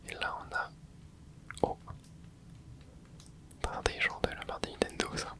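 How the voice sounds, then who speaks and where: Whispering man doing gameplay commentary. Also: measured pace. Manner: soft whispering with little interjections while playing; chatty, explains the game to viewers. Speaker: ASMR gaming channel host.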